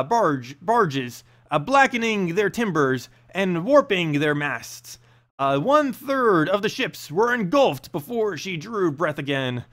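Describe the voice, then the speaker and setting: A man narrating a fantasy story aloud in an expressive, dramatic storybook style. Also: high voice. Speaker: narrator and channel host.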